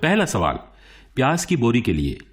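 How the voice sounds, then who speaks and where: A man playing a suave quiz show host in a radio play, formal and theatrical, with dramatic pauses. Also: mid-range voice, huge pitch swings, over loud music. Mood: showy, polite.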